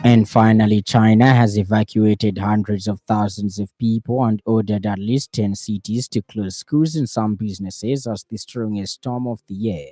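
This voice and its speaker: A man's voice: lowish voice, fairly even intonation, normal speed, hardly any pauses, warm tone, dry recording. A man with a Zambian accent reading a scripted news bulletin on the radio from a studio.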